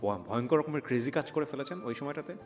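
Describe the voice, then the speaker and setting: A man's voice: medium pitch, fast talker. A man with a Bangladeshi accent hosting a probing conversational interview, switching into English phrases. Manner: switches between Bengali and English. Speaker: show host and interviewer. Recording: long reverb, background music.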